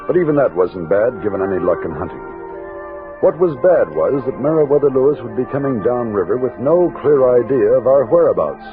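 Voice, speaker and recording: A man's voice: medium pitch, very animated, fairly quick, close to an everyday voice. An American man playing a commanding frontier expedition captain who narrates his journey in a 1960s radio drama. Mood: measured, recounting. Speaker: lead actor and narrator. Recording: narrow-band old radio recording, music behind.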